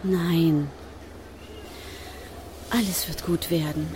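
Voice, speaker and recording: An actress playing a frail, gentle, ailing wife in a radio drama, speaking softly and intimately. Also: female voice, lowish voice, steady tone, very slow, noisy background. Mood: anxious, tender.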